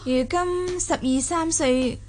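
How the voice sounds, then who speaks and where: Young household mistress, high-pitched, shrewd, lively, smooth-tongued, Cantonese audio drama. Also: female voice, takes it slowly, a little hiss or hum. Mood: bright, helpful. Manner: chatty, explaining, smooth-tongued.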